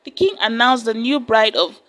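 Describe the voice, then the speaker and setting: Woman narrating a folktale with lively pitch. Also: normal speed. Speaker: storyteller. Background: soft music.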